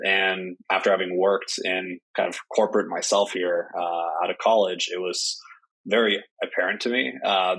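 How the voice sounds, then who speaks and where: Low husky-voiced man, filler-heavy. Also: fairly bright tone, rough recording. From Norway. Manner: hesitant, many "uh" fillers. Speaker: startup CEO. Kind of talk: interview answers.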